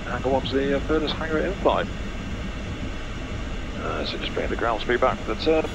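A man's voice: medium pitch, very animated, normal speed, vocal fry. A man in his thirties giving clipped, procedural cockpit commentary and radio readbacks as a pilot on a headset mic. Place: small business jet cockpit in flight and taxiing. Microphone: aviation headset boom mic. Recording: constant aircraft cabin noise.

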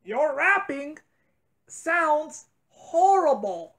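High pitched woman, blunt n complaining, casual bickering. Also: sing-song, extremely slow, a bit bright. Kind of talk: casual bickering in a home-made prank series.

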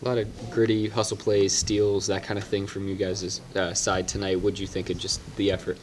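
A male reporter asks questions after a basketball game, low and flat in tone. He phrases them plainly, with small hesitations. Clear room noise surrounds him. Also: a little fast. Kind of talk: asking post-game questions.

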